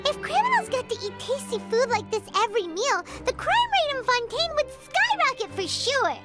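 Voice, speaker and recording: Brief: squeaky little fairy sidekick, shrill and bouncy, music behind. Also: female voice, normal speed, exaggerated voice. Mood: excited. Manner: squeaky, excitable, speaks of herself by name. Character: tiny floating female fairy companion, excitable. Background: game music.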